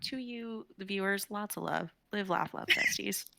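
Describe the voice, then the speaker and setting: A woman's voice: mid-range voice, normal speed, vocal fry, heavy background noise. A woman in her twenties rambling casually and comically on a livestream, self-mocking and a little dramatic. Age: 20s. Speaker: livestream performer, regular of the show.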